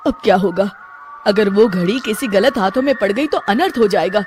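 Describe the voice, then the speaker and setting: An actor playing an earnest inventor scientist with a declamatory manner in an animated Hindi story. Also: male voice, high-pitched, moderate pace, over music, close to an everyday voice. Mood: alarmed. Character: earnest scientist inventor, proud then worried. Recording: faint background noise.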